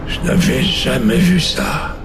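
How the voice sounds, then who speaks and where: A man playing a lieutenant in a video game, recounting a mission to his colonel in a measured, matter-of-fact way. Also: medium pitch, expressive, music in the background. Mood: grave, recounting. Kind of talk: scripted game narration in French dub.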